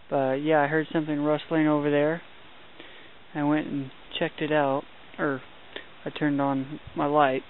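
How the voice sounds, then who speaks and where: A young man narrating a night hike outdoors into a handheld camera, chatty and excited, with mild minced oaths. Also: fairly high pitch. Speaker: hiking vlogger. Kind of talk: hushed running commentary while filming. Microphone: handheld camera mic. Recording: tinny lo-fi camera audio with hiss.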